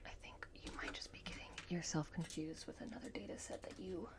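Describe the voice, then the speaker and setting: A woman speaking in a soft, breathy whisper, gently guiding the listener through an ASMR roleplay as a repair technician. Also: fairly low pitch, fairly even intonation. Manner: gentle, reassuring, unhurried guidance. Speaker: ASMR performer playing a robot repair technician.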